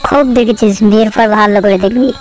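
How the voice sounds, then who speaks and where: A woman voicing a gruff, scolding father of a young suitor in a comic cartoon. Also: mid-range voice, quick pace, lightly acted. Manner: coarse, scolding. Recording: loud music behind the voice.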